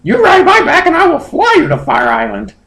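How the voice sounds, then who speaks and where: A man voicing an eager, demanding female bird monster in a squeaky, strained falsetto for a comedic story reading. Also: wildly swooping pitch, a little fast, gravelly, fairly bright tone, echoey room, exaggerated voice. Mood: pleased, bossy. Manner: gleeful, exaggerated, commanding. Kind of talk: comedic dramatic reading of fan fiction.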